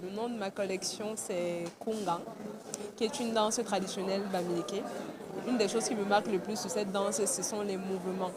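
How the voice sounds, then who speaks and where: A woman's voice: medium pitch, fairly even intonation, normal speed. A woman fashion designer explaining her collection steadily in an interview. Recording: loud crowd behind.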